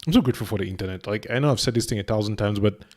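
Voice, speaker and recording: A young man from Cameroon reacting to a video in casual commentary, excitable and stammering through restarts. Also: on the low side, very fast.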